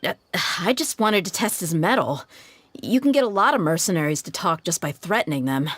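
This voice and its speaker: A woman voicing a tough, confident, blunt female mercenary in a video game, with a brisk, cocky manner. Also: fairly low pitch, lively intonation, faint music behind. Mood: calm, concerned. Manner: brisk, cocky, casually menacing.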